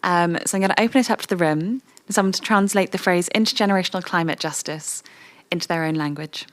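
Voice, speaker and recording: A woman and native English speaker facilitating a workshop, warmly inviting volunteers from the room. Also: lowish voice, quick pace. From English-speaking country.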